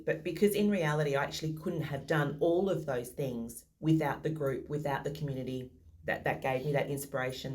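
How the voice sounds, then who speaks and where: A woman's voice: sits low, a little mellow. A modest, thoughtful woman answering interview questions over a video call. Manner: modest, thoughtful, some restarts.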